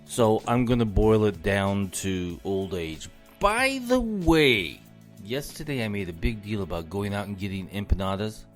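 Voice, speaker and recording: A man hosting a solo podcast, losing his voice, talking in an indignant tone and repeating words as he rants. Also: on the low side. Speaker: podcast host.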